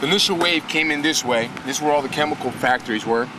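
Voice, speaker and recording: Worker guy, frank and talky, middle pitch, street and a/c noise behind. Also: fast talker. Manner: frank, explaining, points at a map. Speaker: cleanup worker. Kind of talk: casual street chat. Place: outdoors at a work site.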